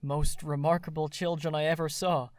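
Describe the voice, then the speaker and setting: An actor playing a playful, flustered young gentleman who stammers and exclaims in a period audio drama. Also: a little high, flat delivery, moderate pace, close to an everyday voice. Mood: amazed, delighted.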